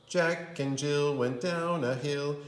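A man's voice: on the high side, steady tone, slow pace, faint music behind. A man reading a children's storybook aloud like a kindergarten teacher, with theatrical, sing-song delivery. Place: indoor room. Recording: roomy indoor echo.

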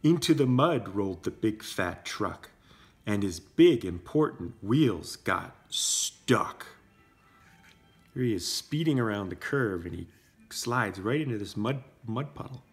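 Expressive, unhurried man reading a storybook. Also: lowish voice, faint music behind. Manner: expressive, simple explanations for young children. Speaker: parent reading to preschool class. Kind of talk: children's storybook read-aloud with casual asides. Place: indoor room with brick wall. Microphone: camera a short distance away.

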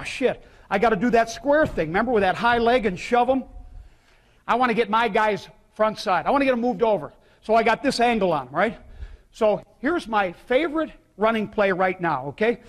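An older man coaching a clinic, talking bluntly and rambling through plays in a hotel ballroom, tacking "all right?" onto his points. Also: sits high. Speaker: football coach giving a clinic talk. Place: hotel ballroom with projector screen, live audience.

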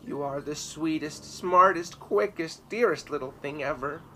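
A man voicing a loving, gentle old grandmother in a storybook, tender and emphatic. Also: on the high side, measured pace, pitched above the performer's own voice. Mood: adoring. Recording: ambient noise behind voice.

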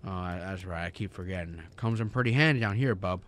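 A man's voice: sits low, a little fast, natural voice. A man playing a despairing, skeptical everyman in a radio drama, wry and stammering. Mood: bitter, sardonic. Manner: stammering, sardonic, incredulous.

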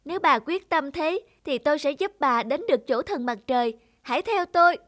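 A performer playing an excitable, helpful young talking rabbit in a cartoon fairy tale. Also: sits high, normal speed. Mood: curious, eager. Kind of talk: cartoon animal voice acting.

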